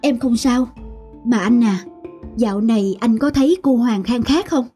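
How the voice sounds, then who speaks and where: A woman's voice: fairly high pitch, normal speed, warm tone, breathy edge. A woman playing a tender, worried young mother in a cartoon family drama. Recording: music behind.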